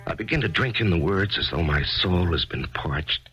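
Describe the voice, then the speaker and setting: A deep, brooding grieving writer. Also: male voice, lively intonation, moderate pace. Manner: hesitant, brooding and introspective. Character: grieving failed author, weary and unsettled. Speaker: narrator and lead in a radio drama. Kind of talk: 1970s radio drama acting and first-person narration. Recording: dull lo-fi 1970s radio broadcast with music.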